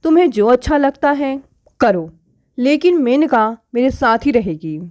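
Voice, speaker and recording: A woman narrator reads as a husband defending himself in a domestic storybook tale. The voice is restrained and explanatory, and fairly high in pitch. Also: expressive, measured pace, a little mellow. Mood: defensive. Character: self-justifying husband with a second wife.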